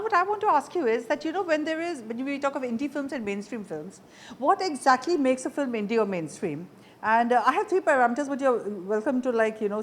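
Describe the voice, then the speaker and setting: A woman's voice: a little high, slight background noise. A woman hosting a conversational interview. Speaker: interview show host.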